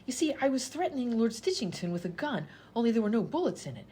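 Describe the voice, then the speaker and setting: A woman's voice: fairly high pitch, sing-song, fast, mellow, slightly rough. A woman playing an exasperated, indignant hospital patient pleading her case in a comedy radio sketch.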